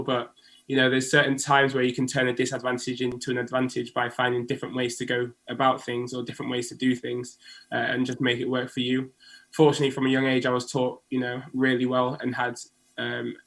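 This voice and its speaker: A man's voice: mid-range voice, a bit bright. A man, a diver, giving earnest answers in an interview. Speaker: Olympic diver guest.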